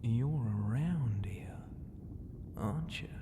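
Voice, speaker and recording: A man voicing a huge, proud and flirty ocean god who murmurs in an unhurried, teasing way for an ASMR roleplay. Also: mid-range voice, animated, background noise. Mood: curious, teasing.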